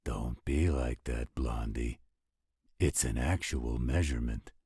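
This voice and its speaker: A man playing a gruff, teasing werewolf with a husky voice in a roleplay audio drama. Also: slow pace, mellow, dry recording, clean studio sound, full character voice. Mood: easygoing, teasing. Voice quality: very deep, husky. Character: gruff, teasing male werewolf with a laid-back streak.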